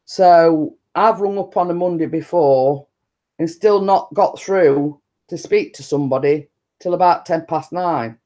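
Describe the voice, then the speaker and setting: A woman with a deep-toned voice talks slowly and unhurriedly to viewers on a live stream. She meanders through her story, leaning on stock phrases and circling back to the same point. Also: warm tone. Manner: chatty, meandering, repeats stock phrases and laughs often. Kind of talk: rambling casual chat.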